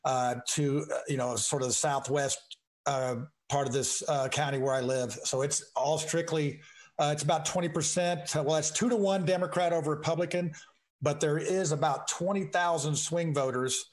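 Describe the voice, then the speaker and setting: A man from the United States chatting informally as a political candidate on a call-in show, folksy, halting and joking. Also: fairly high pitch, fairly even intonation. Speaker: state house candidate and talk show guest.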